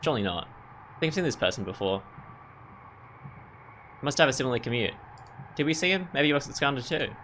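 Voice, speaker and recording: Male gaming host, mid-pitched, casual and musing let's play commentary, with audible background noise. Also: sing-song, a little fast, long pauses, fairly bright tone. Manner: musing, thinking aloud. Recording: clear background noise and ambience.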